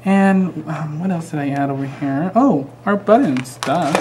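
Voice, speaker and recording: A man's voice: fairly high pitch, measured pace, noisy background. A man with a Mexican American accent demonstrating a craft project live, chatty and easygoing with frequent ums. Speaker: craft instructor.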